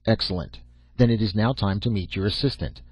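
A man's voice: fairly low pitch, brisk, a little mellow, husky, no room sound. A man voicing a crusty old professor in an audiobook, lecturing bluntly and with emphasis. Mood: brisk. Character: old, crusty, commanding male professor and mentor. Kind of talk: audiobook character acting. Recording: dull lo-fi audio.

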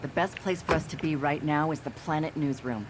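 An actress playing a brisk, sharp female newspaper reporter in a video game. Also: low-pitched, very noisy, lightly acted. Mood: wry.

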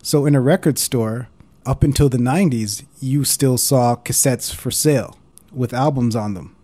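A man's voice: mid-range voice, moderate pace, mellow. A man narrating a casual explainer as host, in an informal, conversational way. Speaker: host of a video series on analog recorders.

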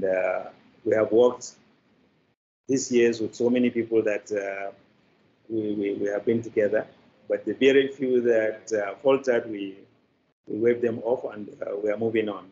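An older man with a Nigerian accent, answering as an interview guest in a deliberate, halting, formal way over a muffled video call. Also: lowish voice, dark tone, slight background noise. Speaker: interview guest, media company representative. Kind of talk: considered interview talk. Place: indoor room, on a video call.

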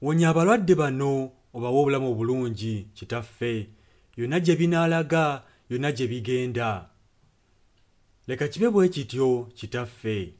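A man's voice: mid-range voice, expressive, normal speed, darkish tone. A man interpreting a preacher's sermon and prayer phrase by phrase.

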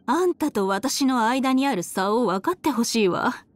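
An actress plays an elegant, cruel villainess from an anime game. Her voice sits fairly high and swings expressively as she taunts with aristocratic disdain. Faint music plays behind her. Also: expressive, no room sound, natural voice. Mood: contemptuous, threatening. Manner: condescending, mocking, drawn-out aristocratic taunting. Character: haughty, cruel, elegant villainess harbinger, adult woman. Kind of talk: Japanese anime game voice acting. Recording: studio voice over game music.

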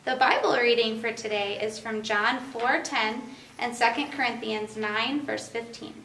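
A woman in her thirties reads a family devotional in a clear, steady voice. Her tone is bright with a gravelly edge. She is recorded on a distant camera mic in a roomy living room. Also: a little high, a little slow, a little hiss or hum. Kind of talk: reading a family devotional aloud. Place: living room by a Christmas tree, indoors.

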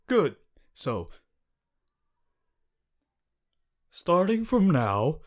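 A man voicing a strict, earnest teenage class president, formal and preachy, in an audio roleplay. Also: mid-range voice. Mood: stern, formal. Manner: formal, preachy, exasperated, stiff phrasing. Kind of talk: ASMR character audio roleplay monologue.

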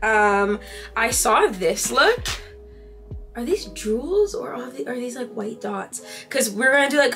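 A woman's voice: mid-range voice, fairly bright tone. A woman in her twenties chatting casually to camera as a vlogger, slangy and full of asides, recorded indoors at home. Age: 20s. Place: indoors in a furnished home room.

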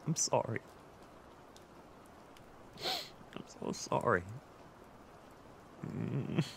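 A man playing an insecure, tearful boyfriend who seeks comfort in an intimate audio roleplay, speaking in a halting, stammering way. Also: medium pitch, expressive, creaky, background noise, full character voice. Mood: calming, apologetic. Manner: halting, stammering, with nervous soft laughs. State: emotional, tearful.

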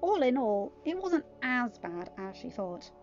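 A woman with a very high voice reads a story aloud as an amateur narrator. The home recording is somewhat dull and lo-fi, with faint music behind her. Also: very animated, unhurried, a little mellow. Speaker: amateur audiobook reader. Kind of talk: narrated fan fiction reading.

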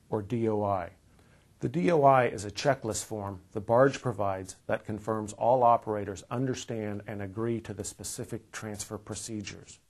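Low-pitched American male instructional narrator. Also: a little mellow. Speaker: training video presenter. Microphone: lapel mic.

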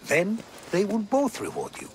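An actor playing a learned, earnest Greek historian who explains things diplomatically in a video game. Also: male voice, high voice, huge pitch swings, normal speed, a little mellow, slight background noise.

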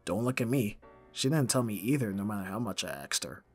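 A man's voice: fairly low pitch, lively intonation, a little fast, husky, faint music behind. A man playing a caring but easily exasperated young roommate in an ASMR roleplay audio drama, casual and dryly bantering.

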